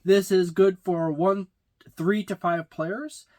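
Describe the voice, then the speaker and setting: A middle-aged man giving a casual, halting, matter-of-fact unboxing and review, recorded in a small room. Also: sits high, steady tone, darkish tone. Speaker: tabletop game reviewer. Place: small indoor room with packed shelves.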